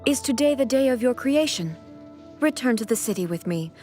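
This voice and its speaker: A woman's voice: on the high side, lively intonation, a little mellow, natural voice. Woman acting a regal, solemn warrior ruler over music. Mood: formal, composed. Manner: formal, measured, stately diction. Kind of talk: scripted video game character voice lines.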